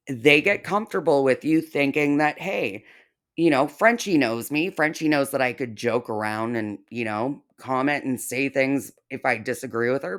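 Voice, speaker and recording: A woman giving blunt, sarcastic, profane reaction commentary. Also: extremely low pitch. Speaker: commentary YouTuber.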